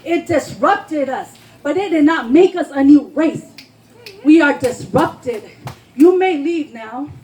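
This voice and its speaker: A woman's voice: sits high, expressive, slow pace, darkish tone, poor audio. A woman poet giving an impassioned, emphatic spoken word performance at a live reading, declaiming her lines. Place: live poetry reading before a responsive audience.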